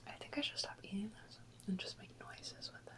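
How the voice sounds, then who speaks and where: A woman whispering softly and unhurriedly in a casual ASMR chat, close to the microphone. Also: a little fast. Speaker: ASMR video host.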